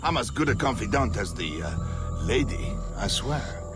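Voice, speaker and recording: An actor playing a roguish, lecherous criminal boss in a video game, theatrical and teasing. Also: male voice, medium pitch, sing-song, measured pace, over loud music. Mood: sly, flirtatious. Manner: theatrical, teasing, mock-wounded. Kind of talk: dramatic video game acting.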